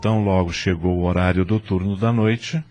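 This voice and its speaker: A man's voice: deep voice, steady tone, normal speed, mellow. A man narrating an audio novel in a dramatic, scripted storytelling style. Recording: music bed behind narration.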